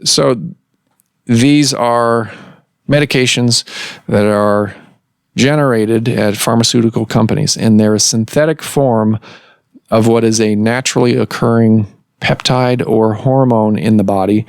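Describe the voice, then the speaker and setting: A man hosting a medical podcast as a doctor, explaining in a measured way with some fillers, recorded in a clinic office. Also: mid-range voice, steady tone, vocal fry, no room sound, studio quality.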